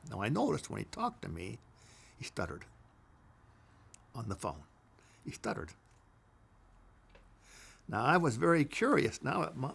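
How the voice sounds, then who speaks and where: Gravelly older man telling his story. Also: mid-range voice. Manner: emphatic, dramatic swings between loud declarations and dropped whispers. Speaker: storyteller recounting his own past. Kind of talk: personal storytelling monologue.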